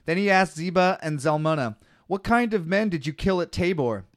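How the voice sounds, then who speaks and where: Young male podcast co-host, slightly higher voice, reads scripture out and riffs on it. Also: lively intonation, normal speed. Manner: reads Bible passages aloud between joking asides. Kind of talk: casual podcast chat.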